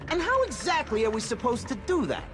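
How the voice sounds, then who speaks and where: A brash hot-headed turtle. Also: male voice, extremely high pitch, huge pitch swings, fairly quick, over music, full character voice. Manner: brash, gruff.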